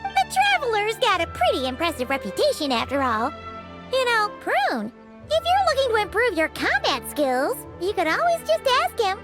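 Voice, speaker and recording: An actress voicing a tiny, childlike flying fairy companion in a video game, squeaky, cutesy and inquisitive. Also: female voice, huge pitch swings, normal speed, over loud music, heavily acted. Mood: helpful. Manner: speaks of self in third person, cutesy, inquisitive. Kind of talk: cartoonish video game voice acting.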